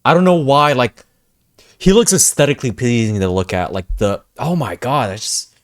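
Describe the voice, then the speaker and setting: A young man reacting live to a video game, casual and slangy with excitable exclamations. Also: mid-range voice, moderate pace.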